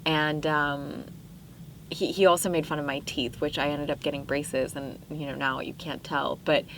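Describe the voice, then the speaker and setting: A young woman from Pakistan speaking as a podcast guest, telling stories in a chatty, animated way with frequent fillers and no accent. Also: low voice, moderate pace, slight background noise, low-quality recording. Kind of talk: casual interview chat.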